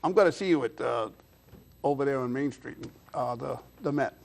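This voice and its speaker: A man's voice: mid-range voice, moderate pace, creaky, a little hiss or hum. A mature man hosting a casual interview, chatting and telling stories informally. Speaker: talk show host.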